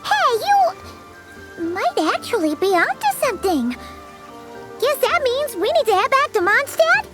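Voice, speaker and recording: A woman voicing a small, childlike female fairy companion with a squeaky voice, cheerful, chatty and eager, in a video game cutscene. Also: sing-song, measured pace, heavily acted. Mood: excited, reassuring. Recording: music behind the voice.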